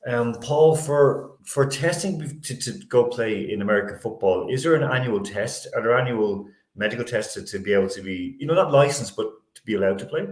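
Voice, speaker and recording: A man hosting a sports panel discussion, speaking in halting, stop-start phrases with repeated words. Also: mid-range voice. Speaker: sports show host. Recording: roomy, echoey sound.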